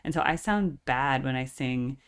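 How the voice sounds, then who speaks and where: Low-voiced chatty woman, operatic timbre. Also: normal speed. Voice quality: full, operatically trained voice. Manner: chatty, constant filler "like". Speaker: music reaction channel host. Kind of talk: casual reaction chat.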